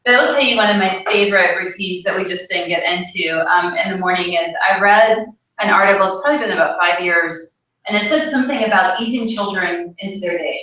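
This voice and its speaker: A woman's voice: medium pitch, fairly quick, sharp tone. A woman in her late thirties speaking on a panel, telling personal stories in a candid, informal way. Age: late 30s. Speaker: panel speaker, Ayurvedic practitioner. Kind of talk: casual personal storytelling to an audience. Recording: echoey room, distant mic, dull sound.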